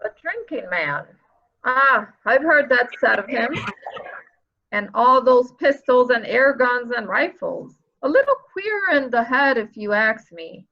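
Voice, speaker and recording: A woman's voice: on the high side, animated, measured pace, highs cut off. A woman playing a gossipy, opinionated local character in a murder mystery reading.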